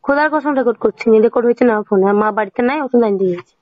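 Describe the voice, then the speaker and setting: A woman's voice: fairly high pitch, very fast. Tinny phone-line woman arguing. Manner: insistent, challenging. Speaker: wife on a phone call. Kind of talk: heated personal phone argument. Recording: tinny band-limited phone call recording.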